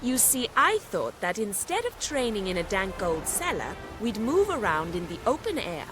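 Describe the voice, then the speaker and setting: A woman's voice: medium pitch, very animated, normal speed, natural voice. A woman playing a spirited, haughty young noblewoman in a video game, well-spoken and formal in her phrasing. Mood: playful, defiant. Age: young adult. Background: background music.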